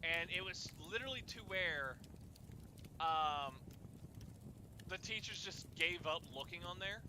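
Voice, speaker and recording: A young man telling personal stories casually, in a halting way with repeated words and restarts. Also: sits high, animated, background noise. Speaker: storyteller and host of a group chat.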